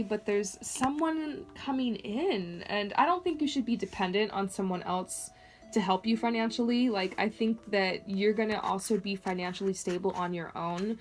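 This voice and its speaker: A young woman doing a casual psychic reading, chatty and full of fillers, her voice a little raspy from a long day. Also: mid-range voice. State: slightly raspy, short of water. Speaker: clairvoyant reader. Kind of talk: casual one-on-one tarot-style reading.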